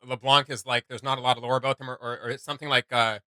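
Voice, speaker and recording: A man's voice: mid-range voice, flat delivery, very fast, sharp tone, clean tone, no room sound, studio quality. A man hosting a reaction video, giving enthusiastic, slangy, off-the-cuff commentary.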